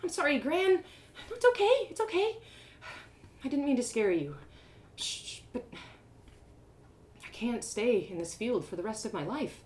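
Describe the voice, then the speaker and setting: An eager young farm heroine from a storybook, acted out by a woman. She is excitable and defiant, with pitch that leaps about in a lively, dramatic way. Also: unhurried, long pauses. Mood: soothing. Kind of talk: storybook character reading.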